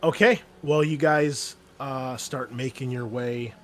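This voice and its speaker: A male game master improvises narration for a tabletop game. His delivery is casual and halting, at an average pitch. There is faint background noise on the recording. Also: fairly even intonation.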